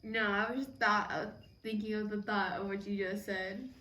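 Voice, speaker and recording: Bright-voiced young woman in an echoey room. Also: medium pitch, fairly even intonation, normal speed. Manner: playful, teasing interjections. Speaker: vlog co-host. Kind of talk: casual banter during a mukbang. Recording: roomy echo, distant mic, some noise.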